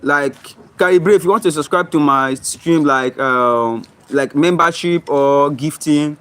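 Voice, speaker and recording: A man's voice: on the high side, a little slow, fairly bright tone, clean tone. Nigerian man livestreaming from his phone. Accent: Nigerian English. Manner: reads viewer comments aloud and answers them, often asks "you understand?". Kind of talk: casual livestream chat. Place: outdoors around his home and gate. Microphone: phone camera, flipped to show surroundings.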